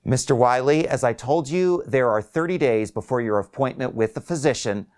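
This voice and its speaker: A man's voice: mid-range voice, moderate pace, darkish tone, voice pushed up, lightly acted. A man voicing a detached, officious female call agent who speaks in formal, bureaucratic phrases in a short story. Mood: firm, scripted. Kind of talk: acted short story dialogue.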